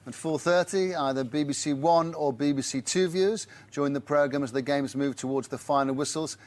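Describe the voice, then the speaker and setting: Higher-pitched male sports presenter. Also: fairly quick. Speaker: studio presenter. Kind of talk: live football results presenting.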